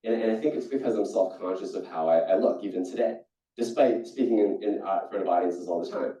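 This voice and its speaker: A young man from Singapore giving a keynote talk, telling stories in a lively way with many restarts. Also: brisk. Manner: storytelling, animated with jumps in pitch and frequent restarts. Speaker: keynote speaker. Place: conference hall. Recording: echoey hall, distant and dull.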